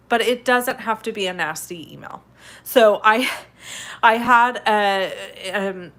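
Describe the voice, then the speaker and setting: A woman with a stuffed-up head cold hosting a live card-making tutorial in a chatty, upbeat way, sniffling and clearing her throat now and then. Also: medium pitch, pushed and bright. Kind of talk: casual live tutorial chat.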